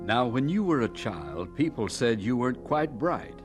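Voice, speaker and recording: A man announcing and questioning in a formal, measured old-time radio style. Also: medium pitch, sing-song, over loud music.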